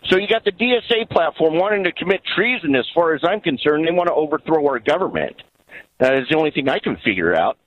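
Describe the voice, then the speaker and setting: A man's voice: on the high side, animated, fast talker, no room sound, phone-quality sound. A man calling a political radio show by phone, making his case earnestly and insistently over a band-limited line. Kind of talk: political opinion on call-in radio. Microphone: telephone.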